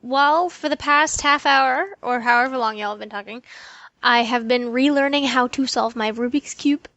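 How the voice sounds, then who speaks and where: A woman's voice: high voice, moderate pace, sharp tone, dry recording, dull audio. A woman co-hosting a podcast, telling things in casual chat. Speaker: podcast co-host.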